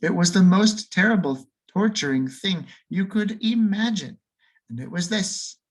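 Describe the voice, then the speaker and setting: Expressive man reading a children's story. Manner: expressive, lingers and slows on key words for effect; swings pitch and loudness freely for emphasis. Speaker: audiobook reader.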